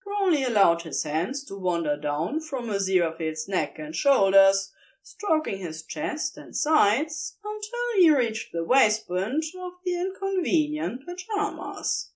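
A woman reading fan fiction aloud in soft, unhurried narration. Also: medium pitch, big pitch swings. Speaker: podfic reader.

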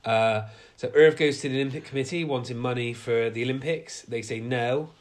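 A man's voice: mid-range voice, fairly quick. A man with a blocked-up nose from a cold, casually recapping a film plot with many fillers. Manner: recounts plot with many fillers, acts out quotes. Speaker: podcast co-host.

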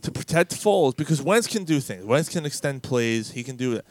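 A man co-hosting a sports podcast, loud and profane, rambling with restarts. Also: mid-range voice, lively intonation, brisk, no echo. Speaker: podcast co-host. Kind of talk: casual sports chat.

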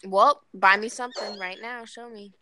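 A woman's voice: medium pitch, steady tone, moderate pace, noisy background. A woman in her twenties chatting casually in a brash, blunt and boastful way. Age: 20s. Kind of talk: casual chat.

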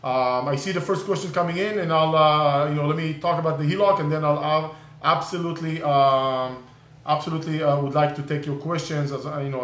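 A man's voice: a little high, a bit bright. A man whose first language is Hebrew hosts a casual online talk on real estate investing. He talks in a slightly raised voice, stops and restarts often, and fills gaps with "uh" and "um". The sound is roomy and echoey. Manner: halting, many fillers and restarts. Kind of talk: live online session, casual explanation. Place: California.

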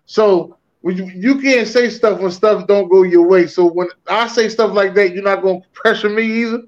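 Man, sports talk host, high voice, teasing, lo-fi sound. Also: moderate pace. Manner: playful, teasing, hypes people up. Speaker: podcast host. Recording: dull lo-fi sound.

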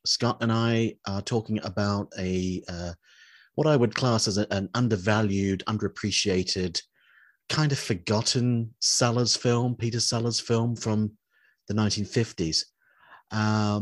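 A man hosting a podcast, nasal and stuffed up with a head cold, talking casually with many fillers and restarts. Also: fairly low pitch. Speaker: podcast host.

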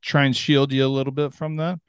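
A man's voice: mid-range voice, little pitch movement, brisk, clear, resonant tone, no echo, studio quality. A man hosting a podcast, asking probing questions and giving brief affirmations. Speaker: podcast host. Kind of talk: interview questions.

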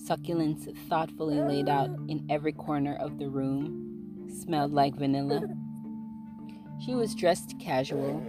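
A low-pitched woman hosts her own audio blog, talking casually before settling into a steady reading of her own short story. Her voice sits deep in her range throughout. Music plays clearly behind her. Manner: casual, relaxed intro, then steady storytelling. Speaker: podcast host and author.

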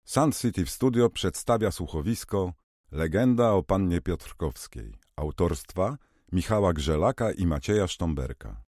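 A man with a deep voice announces an audio drama from a script. The sound is dry and close, as in a studio. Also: expressive, unhurried, a little mellow, no room sound.

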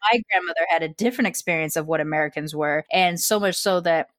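A woman's voice: deep voice, fast talker, a bit bright, no room sound, studio quality. A woman guest on a podcast, speaking frankly in casual chat, telling stories bluntly with the odd swear word.

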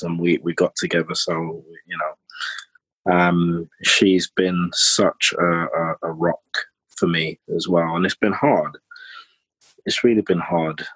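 Deep, slow, husky-voiced man. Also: no echo, rough recording. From Trinidad. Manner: hesitant, many pauses and filler ums. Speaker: podcast guest. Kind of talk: candid personal conversation.

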